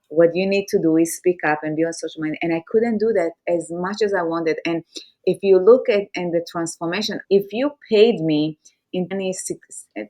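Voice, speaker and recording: Low-voiced woman host, English. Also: darkish tone. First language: Farsi or Hebrew. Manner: candid, personal, occasional repeats. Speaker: podcast host. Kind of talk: conversational interview.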